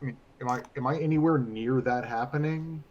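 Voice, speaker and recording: A man's voice: mid-range voice, a little fast, a little mellow. Dull-sounding male tabletop player. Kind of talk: casual improvised table talk. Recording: dull lo-fi mic with background noise.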